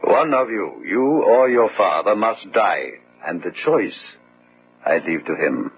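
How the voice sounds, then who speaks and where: An actor playing the Red Death, a pitiless, solemn judge who pronounces doom in slow, commanding phrases in a gothic radio drama. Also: male voice, on the low side, animated. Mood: solemn, menacing. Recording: muffled, noisy old radio drama recording.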